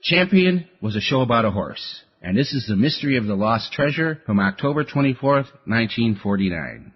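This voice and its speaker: A man's voice: medium pitch, very animated, fairly quick, breathy edge. A man hosting a radio program, reading scripted introductions. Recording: slightly muffled audio.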